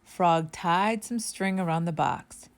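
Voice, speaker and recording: A woman storyteller reading a children's book aloud in a deliberate, expressive narrating style. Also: fairly low pitch.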